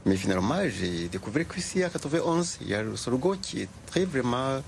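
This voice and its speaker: A man's voice: medium pitch, big pitch swings, fairly quick, creaky, slight background noise. An older man from Rwanda answering interview questions in short, plain, hesitant sentences. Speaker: guest, sorghum liqueur maker.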